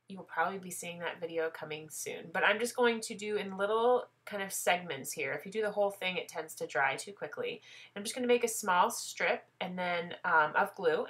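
A woman with a stuffy head cold talks you through a craft project in a chatty, easygoing way. Her voice sits a bit low but sounds bright and crisp. She fills pauses with ums and makes fun of herself. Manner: chatty, self-deprecating, lots of "um" and "gonna". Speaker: craft tutorial host. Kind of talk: casual step-by-step craft tutorial.

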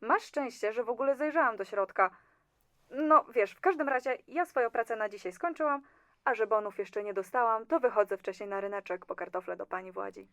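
A woman's voice: a little high, fairly quick, no echo, close to an everyday voice. A woman playing a chatty, nonchalant and slightly condescending office clerk in an audio drama. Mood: smug, dismissive. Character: breezy, self-serving female office clerk in communist-era Poland.

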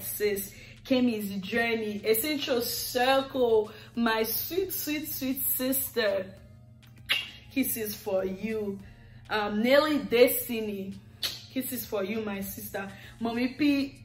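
Haitian-accented woman, affectionate sing-song vlogger, slow unhurried delivery, echoey living room, faint music underneath. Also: on the high side. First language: Haitian Creole. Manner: affectionate, sing-song, lingering on repeated words. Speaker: YouTuber. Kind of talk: thanking subscribers on camera. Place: living room with red leather sofa, indoors. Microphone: camera in front, seated.

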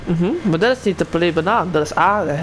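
A man's voice: sits high, lively intonation, fairly quick, a crowd in the background, rough recording. A man chatting casually in improvised video game roleplay, acting as a guide to a newcomer. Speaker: roleplay player guiding a newcomer. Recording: clear game noise behind the voice.

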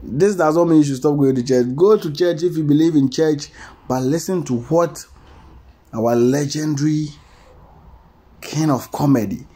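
Husky-voiced male commentator. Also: on the high side, normal speed, warm tone. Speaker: commentator introducing an interview clip. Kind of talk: informal news commentary.

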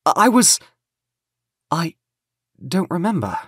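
A frightened young man who is lost and confused, speaking in a breathy, shaky voice that jumps up and down in pitch, as a male narrator puts him on. The voice is dry and close, and it sits well above the reader's own register. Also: sing-song, slow, fairly bright tone, no echo, heavily acted.

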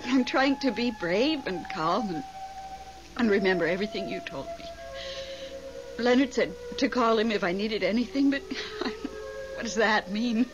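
An actress playing a grieving, clinging middle-aged widow in a radio drama, trying to be brave. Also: female voice, a little high. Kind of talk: 1970s radio drama acting. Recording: muffled old radio recording.

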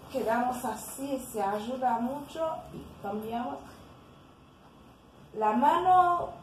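A young Haitian-accented woman leads a floor workout at home in a slow, high voice, puffing and tired between cues. Her voice bounces around an echoey living room, picked up by a camera on the floor. A steady hiss of room noise sits under her. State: tired from exercising. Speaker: fitness vlogger. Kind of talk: casual home workout instruction. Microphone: camera on the floor nearby.

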